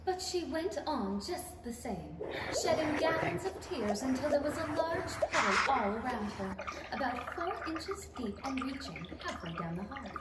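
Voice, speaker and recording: Young female narrator, expressive radio-play storytelling; high-pitched, distant from the mic. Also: moderate pace. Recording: distant, echoey stage with noise.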